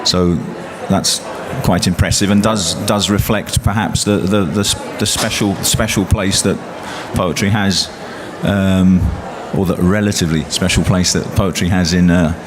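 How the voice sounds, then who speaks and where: A man's voice: low voice, steady tone, measured pace, hardly any pauses. A man, a poet speaking on a panel, hesitant with many restarts and fillers. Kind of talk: panel discussion. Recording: loud traffic noise behind.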